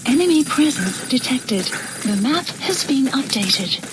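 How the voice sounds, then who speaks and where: A woman's voice: high-pitched, sing-song, measured pace, background noise. A woman voicing an impassive computer assistant in a video game, giving clipped, impersonal status announcements. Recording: loud game ambience behind voice.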